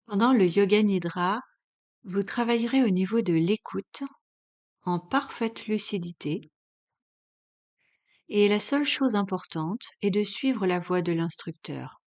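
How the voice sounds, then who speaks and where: A woman with a mid-pitched voice guides a relaxation session as a yoga instructor. She speaks very slowly and calmly, leaving long pauses between measured instructions.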